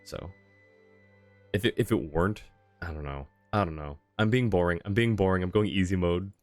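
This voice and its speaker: Very deep-voiced male game streamer. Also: normal speed, no room sound. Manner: rambling, deliberating, self-correcting. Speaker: video game livestreamer. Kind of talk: casual livestream commentary, thinking aloud. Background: soft game music.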